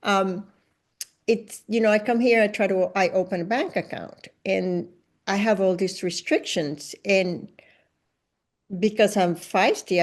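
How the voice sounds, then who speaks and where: A woman leads a podcast conversation. Her pitch sits in a middle range for a woman. She speaks assertively and with emphasis, often breaking off to restart a phrase. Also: darkish tone. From Venezuela. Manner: assertive, emphatic, with self-corrections. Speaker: podcast host. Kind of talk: conversational interview.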